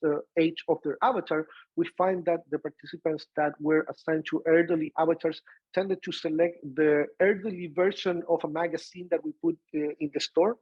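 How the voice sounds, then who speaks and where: A man with a Chilean accent giving an academic seminar talk over a video call, often pausing on "uh" and restarting phrases. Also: on the high side, slightly rough, no room sound. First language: Spanish. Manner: frequent "uh" fillers and restarts. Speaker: professor presenting research. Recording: dull lo-fi video call audio.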